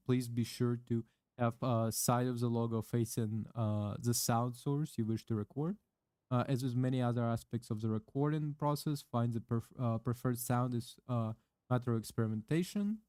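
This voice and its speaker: Male streamer, mid-range voice, close dry mic; halting read-aloud with fillers and casual cursing. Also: mid-range voice, darkish tone, clear, resonant tone, no echo. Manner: halting reading full of "uh" fillers and restarts, offhand swearing. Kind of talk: reading a product manual aloud, casual chat. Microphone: condenser microphone with pop filter, close.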